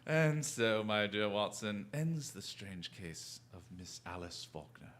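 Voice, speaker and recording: A shrewd gentleman detective in a radio play. The voice is fairly low but bright and forceful, swinging widely in pitch. The phrasing is urbane, amused and grandly theatrical, full of self-assurance. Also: expressive, slow, soft background music. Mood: smug, amused. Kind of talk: theatrical radio drama acting.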